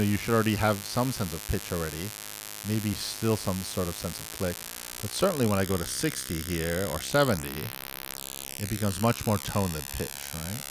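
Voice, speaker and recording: Low-voiced man teaching over mains hum. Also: normal speed. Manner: loose, thinking aloud with fillers and restarts. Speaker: audio instructor. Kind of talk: recorded lesson on sound basics. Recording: steady mains hum behind voice.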